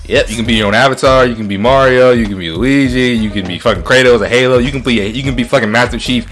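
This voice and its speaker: A man's voice: medium pitch, fast talker, fairly bright tone, soft background music. A man hosting a casual podcast chat, rambling, often repeating himself and swearing freely. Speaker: talk show host.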